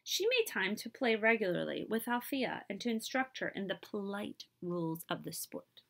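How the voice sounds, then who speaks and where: A woman in her thirties reading a children's picture book aloud expressively, pausing to put questions to her listeners. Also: medium pitch, vocal fry. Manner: animated, asks listeners questions. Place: small room indoors.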